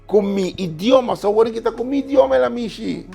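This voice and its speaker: A man's voice: very high-pitched, fast talker, gravelly, dark tone, faint music behind. A man appearing as a writer and guest on a talk show, arguing his points emphatically. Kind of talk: studio talk show discussion.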